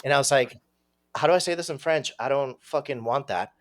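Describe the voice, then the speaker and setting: A man in his thirties, raised in Venezuela, telling his life story as a podcast guest in a casual, profane, animated way. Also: medium pitch, fairly quick. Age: 30s.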